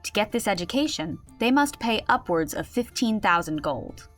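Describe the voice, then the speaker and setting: A woman playing a composed, patient but firm storyteller in a fantasy audio drama, explaining with gentle authority. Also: mid-range voice, sing-song, normal speed, faint music behind.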